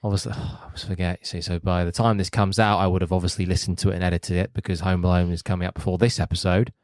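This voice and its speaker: A man co-hosting a podcast, talking in a droll, deadpan way. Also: low-pitched, quick pace, dry recording, clean studio sound. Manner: droll, deadpan, fussy about housekeeping. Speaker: podcast co-host. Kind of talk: casual chat. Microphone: new podcast microphone, spoken into from the side.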